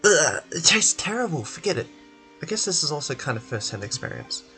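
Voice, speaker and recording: A complaining game reporter, voiced by a man, pitched a little high and strained. The character sounds skeptical and put-upon, querulous in every line, with music behind. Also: sing-song, a little fast, bright, forceful tone, exaggerated voice, low-quality recording. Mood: queasy grumbling.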